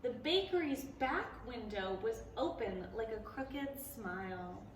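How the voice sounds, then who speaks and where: A woman reading a picture book aloud to preschoolers at a library storytime, animated and playful, stopping to ask the children questions. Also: on the high side, a little slow, slight background noise. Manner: playful, gasps and exclaims at the story. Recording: echoey room, voice a bit far from the mic.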